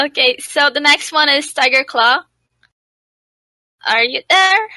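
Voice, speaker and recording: Female moderator, high and slow-spoken, hesitant when asking questions. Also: expressive, bright, forceful tone, no room sound. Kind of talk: reading out questions on a voice call.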